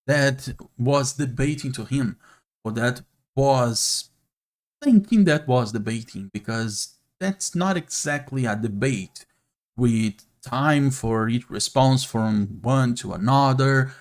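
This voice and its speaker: A man from Brazil with a Brazilian Portuguese accent, a non-native English speaker, hosting an unscripted monologue straight to camera, earnest and slow with frequent pauses. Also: mid-range voice, a little mellow. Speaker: YouTube channel host. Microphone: camera mic, speaking to camera.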